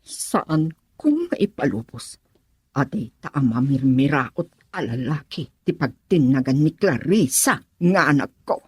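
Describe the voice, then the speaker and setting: A woman's voice: sits low, very animated, measured pace, a little mellow, breathy edge, no echo, heavily acted. A woman acting a protective, disapproving mother in an Ilocano radio drama.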